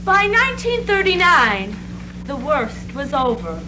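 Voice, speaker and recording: Lively, high-voiced woman narrating. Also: unhurried. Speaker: readers theatre performer. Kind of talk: theatrical scripted narration. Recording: stage recording with loud hum.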